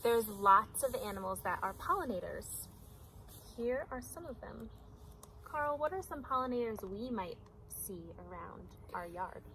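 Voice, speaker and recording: A woman's voice: medium pitch, lively intonation, a little slow, a bit bright, a little hiss or hum, rough recording. A woman teacher reading a picture book aloud to young children, speaking simply and putting prompting questions.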